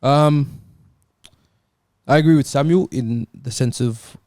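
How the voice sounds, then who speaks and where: A man's voice: medium pitch, takes it slowly, darkish tone, no room sound, studio quality. A man chatting casually as a football panellist, explaining his view in a tentative, hedging way. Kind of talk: casual chat.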